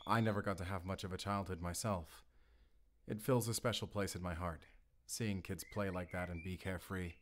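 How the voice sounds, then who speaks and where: A man playing an earnest, gentle wolf hunter in an audio roleplay, speaking in a measured, sincere way. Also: low-pitched. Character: grown male wolf hunter, earnest, gentle, protective, duty-bound. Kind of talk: cinematic audio roleplay acting.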